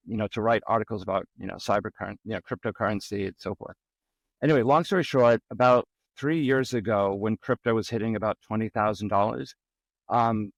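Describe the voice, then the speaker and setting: A man's voice: medium pitch, dry recording, low-quality recording. A middle-aged man from the Boston area, a podcast guest telling personal stories in a casual, rambling way with many ums. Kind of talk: casual interview storytelling.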